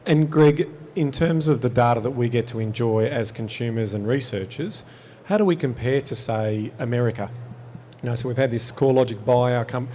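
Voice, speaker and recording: A man's voice: medium pitch, normal speed, mellow, slight background noise. A man hosting a live podcast on an expo stage, asking long, winding interview questions. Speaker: podcast host.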